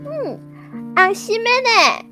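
An actress playing a curious, lively young girl in a children's radio drama. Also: very high voice, slow pace, over music, full character voice.

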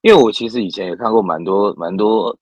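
A man's voice: lowish voice, a little fast, a little mellow, breathy edge, no echo. A man hosting an interview, asking friendly questions with many back-channel hums. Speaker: host. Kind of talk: casual interview chat.

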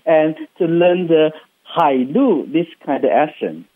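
Man, Taiwanese accent, Chinese native, enthusiastic explainer, halting English, thin phone-like audio, very dry. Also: fairly high pitch, expressive, dry recording. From Hsinchu, Taiwan. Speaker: interview guest, travel writer and radio host. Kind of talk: chatty radio interview. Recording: muffled, phone-like radio sound.

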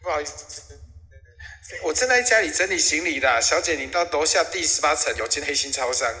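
Guy playing young tour guide, bright highish voice, polite n flustered, audio drama, echoey. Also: lively intonation, fairly quick. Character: put-upon young male tour guide, polite but reluctant. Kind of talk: amateur audio drama acting. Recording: echoey hall sound.

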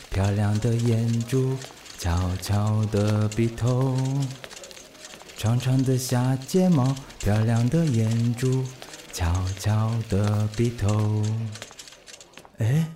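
A man's voice: mid-range voice, warm tone, close to an everyday voice. An actor playing a good-natured, easily smitten widowed single father in a radio drama, musing to himself expressively. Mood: wistful, dreamy. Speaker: voice actor, lead. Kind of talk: radio drama acting with inner monologue.

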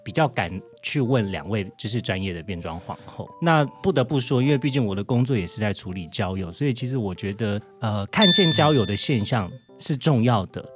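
A man's voice: lowish voice, animated, fairly quick, hardly any pauses, over music. A man with a Taiwanese Mandarin accent answering interview questions in a reflective, candid, conversational way. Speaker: podcast guest, interviewee.

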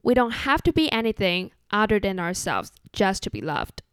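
A young woman with a Chinese accent hosting a solo podcast in an earnest, measured, relaxed way. English is not her first language. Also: mid-range voice, smooth, dry recording, clean studio sound. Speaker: podcast host.

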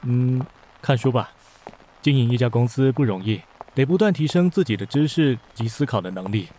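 An actor playing a reserved, considerate young company boss in a romantic radio drama, composed and understated. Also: male voice, medium pitch, animated, moderate pace, mellow, natural voice, rough recording. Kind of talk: modern urban romantic radio drama acting. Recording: faint noise, rain ambience.

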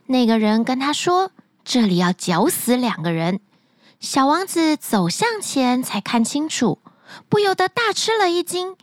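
A woman narrating a fairy tale as a bedtime story, gently and expressively, close to the mic. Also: high voice, dry recording.

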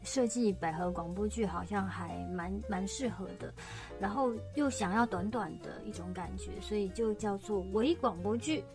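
A woman's voice: medium pitch, normal speed. A young woman, co-hosting a casual chat about her radio drama, laughing often as she talks. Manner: giggly, chatty, laughs often. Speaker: radio drama co-host and voice actor. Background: music.

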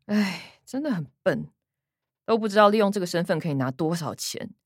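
An actress playing a bossy, money-minded older sister with a Taiwanese accent, sardonic and pushy, in a radio drama. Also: female voice, on the low side, animated, a little fast, dry recording, studio quality. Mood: matter-of-fact.

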